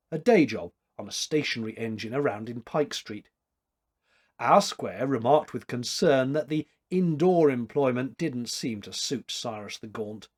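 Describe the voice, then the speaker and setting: Male storyteller, 50s, medium pitch, slightly rough voice, measured literary narration. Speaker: amateur story reader. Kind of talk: expressive read-aloud literary narration. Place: small domestic room, indoors.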